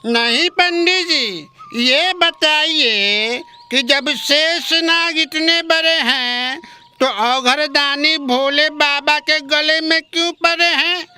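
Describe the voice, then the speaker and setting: A man playing a stubborn village drunkard in a comic cartoon story, with slurred, drawn-out, insistently questioning speech. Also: very high voice, sharp tone, full character voice. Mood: probing, stubborn.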